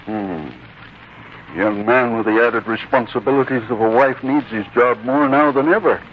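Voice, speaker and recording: An actor plays a stodgy but kindly old man of learning. His delivery is avuncular, humble and gently halting, in 1940s radio drama style. The audio is dull and faintly noisy. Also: medium pitch, natural voice. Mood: thoughtful, reassuring.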